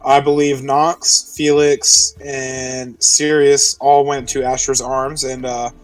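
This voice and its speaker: A man's voice: mid-range voice, little pitch movement, slow pace, far from the mic, low-quality recording. A man playing a tabletop roleplaying game, casually recapping the session and checking details with the others as he goes. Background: music.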